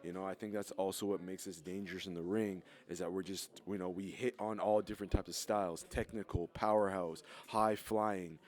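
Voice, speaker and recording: A man, a wrestler, speaking earnestly and calmly in a casual interview. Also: low voice, brisk, hardly any pauses.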